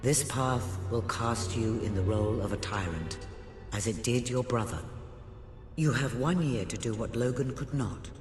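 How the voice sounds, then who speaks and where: Game cutscene mentor male actor, grave and foreboding, mid-pitched, heavy reverb, music underneath. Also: unhurried, darkish tone, natural voice. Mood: stern, foreboding. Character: solemn, cryptic mentor prophesying doom to a new king. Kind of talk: dramatic video game cutscene dialogue. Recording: echoey hall reverb, music behind.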